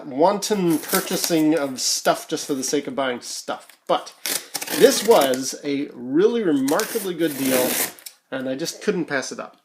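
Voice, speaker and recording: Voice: medium pitch, slight background noise. A vlogger from Canada chatting casually through an unboxing, rambling and hesitant as they think aloud, recorded on an amateur home microphone. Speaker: YouTuber.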